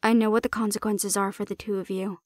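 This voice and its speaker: A woman's voice: mid-range voice, level tone, fast talker, a little mellow, no echo, lightly acted. Girl voicing a cocky handler woman, blunt n teasing, not scared of anyone, audio rp, dry close sound. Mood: firm, challenging. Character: bold, cocky non-human female handler, sardonic and unafraid. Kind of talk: audio roleplay acting.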